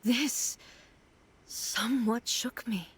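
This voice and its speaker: An actress playing a composed, regal immortal ruler in a video game, formal and gentle in a measured, calm manner. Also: female voice, fairly high pitch, a little hiss or hum. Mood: reflective. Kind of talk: video game character acting.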